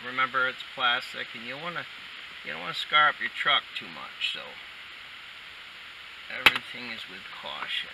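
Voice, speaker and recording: A man giving a casual, plainspoken how-to while he works, talking in an unhurried, sometimes muttering way inside a truck cab. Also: medium pitch, animated, creaky. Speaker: DIY installer demonstrating a how-to. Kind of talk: casual hands-on tutorial talk. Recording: distant mic, loud vehicle background noise.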